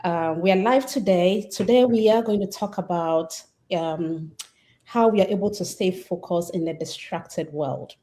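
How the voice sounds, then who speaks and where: A woman from Ghana hosting a live online panel discussion, speaking in a measured way with occasional fillers. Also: fairly low pitch, warm tone, a little hiss or hum. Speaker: host.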